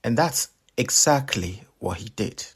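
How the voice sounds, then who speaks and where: Male storyteller, children's read-aloud, slow pace, creaky voice, expressive, dramatic. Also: mid-range voice.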